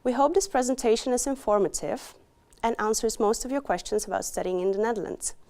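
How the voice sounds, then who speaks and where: A woman's voice: fairly high pitch, brisk, darkish tone. Woman reading a polite welcome. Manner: polite, welcoming, read from script. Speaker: presenter for a university group. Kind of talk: scripted presentation intro.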